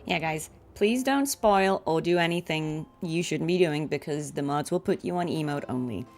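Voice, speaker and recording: A woman livestreaming a game, chatting casually and reacting to it in an expressive, informal way. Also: low-pitched, moderate pace. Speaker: livestreamer, voice actor. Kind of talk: casual chat and reactions while streaming a game.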